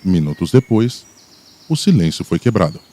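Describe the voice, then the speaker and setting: A man's voice: deep voice, sing-song, normal speed, dark tone. A man with a Brazilian accent reading scripted dramatic narration for a radio documentary.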